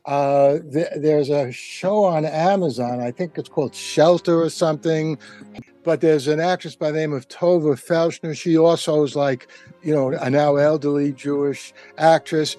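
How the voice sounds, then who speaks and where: A man speaking as a panelist in a casual roundtable, with a halting, stammering delivery. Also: on the high side, fairly even intonation, warm tone, faint music behind.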